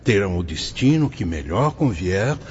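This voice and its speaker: A man's voice: sits low, very animated, moderate pace, darkish tone, muffled recording, a little hiss or hum. A man acting a wise, fatherly spirit mentor in a radio soap opera, speaking in a solemn, didactic, formal manner. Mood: solemn, instructive. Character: wise, fatherly male spirit mentor explaining afterlife rules.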